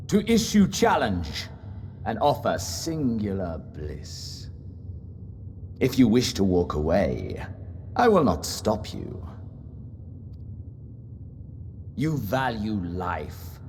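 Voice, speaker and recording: An actor playing a battle-hungry, brooding warrior rival in a video game, with grave, theatrical, deliberate delivery and a gravelly voice. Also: male voice, mid-range voice, darkish tone, a little hiss or hum. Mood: grave challenge. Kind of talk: dramatic video game voice acting.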